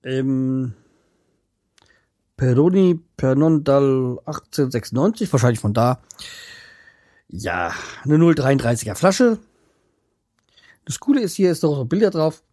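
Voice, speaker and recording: Man, casual home vlog, husky, dark tone. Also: mid-range voice, very animated, measured pace, long pauses, dry recording, studio quality. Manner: rambling, trails off and restarts, many fillers. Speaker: vlogger. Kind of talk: casual chat to camera.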